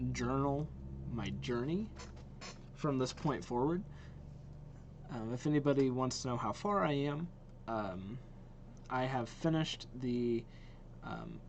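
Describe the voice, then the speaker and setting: Male reaction vlogger: mid-pitched, slow-paced, informal and enthusiastic, slightly noisy recording. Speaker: video host reacting to game trailers. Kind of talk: casual reaction vlog chat.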